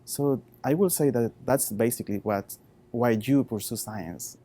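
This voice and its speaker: A man in his thirties with a Chilean Spanish accent, a researcher talking informally about his work in halting non-native English. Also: mid-range voice, mellow. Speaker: postdoctoral researcher.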